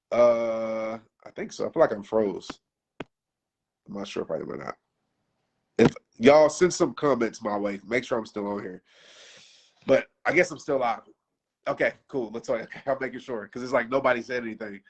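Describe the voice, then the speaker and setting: A man in his thirties giving an unscripted motivational talk on a livestream, casual and passionate, with his voice wearing out. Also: medium pitch. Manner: passionate, preachy, casual slang. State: losing his voice.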